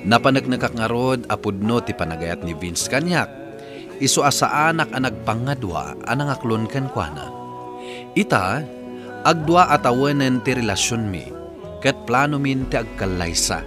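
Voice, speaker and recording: A man hosting a radio drama programme, reading a listener's story as scripted narration. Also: mid-range voice. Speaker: radio drama host and letter reader.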